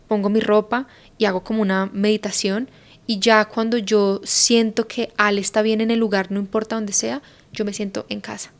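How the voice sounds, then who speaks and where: Colombian woman, 30s, guest; relaxed reflective talk with gravelly fry, filler-heavy. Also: mid-range voice. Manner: reflective, thinking aloud with many fillers. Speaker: podcast guest. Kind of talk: casual conversation.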